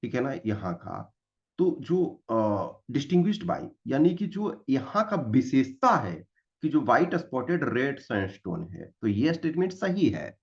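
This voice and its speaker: A man's voice: mid-range voice, very animated, unhurried, gravelly, dull audio. A man with an Indian accent giving an explanatory exam-prep lecture, mixing Hindi and English and repeating key points didactically. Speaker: exam-prep history teacher.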